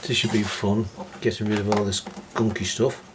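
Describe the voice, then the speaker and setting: Man, low voice, casual muttering commentary, noisy workshop recording. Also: level tone, moderate pace. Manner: muttering running commentary, easygoing. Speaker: hobbyist repairing a reel-to-reel deck.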